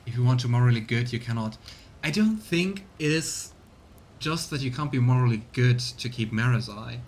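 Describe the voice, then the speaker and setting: A man's voice: medium pitch, normal speed, a little mellow, a little hiss or hum. A man giving casual live game commentary, musing and grumbling as he goes. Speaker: let's play streamer. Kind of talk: casual live game commentary, sometimes reading game text aloud.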